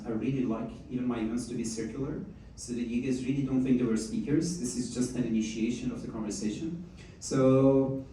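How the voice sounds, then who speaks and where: A man hosting a live meetup, chatting casually and coaxing the audience to join in. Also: medium pitch. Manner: animated, chatty, coaxing the crowd. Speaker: event host. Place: event room with audience. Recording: echoey room heard from far off, faint noise.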